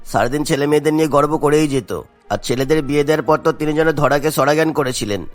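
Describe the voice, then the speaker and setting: A man's voice: a little high, quick pace, lightly acted. A man playing a resentful, gossiping neighbour with a grudge in a cartoon. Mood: bitter. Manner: bitter, gossipy. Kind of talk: cartoon dialogue. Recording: music bed behind the voice.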